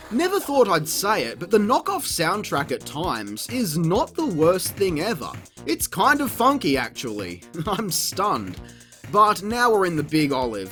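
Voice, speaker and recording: A reviewer delivers a scripted comic rant about games, full of swearing and sarcasm. The pitch swings widely with exasperation, and music plays behind the voice. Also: fairly bright tone. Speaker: video game reviewer, channel host. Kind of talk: scripted comedic review narration.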